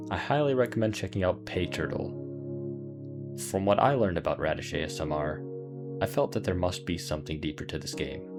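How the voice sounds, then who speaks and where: A man with a low, deep voice narrates a scripted video essay. He sounds like a host presenting to viewers, with music playing faintly underneath.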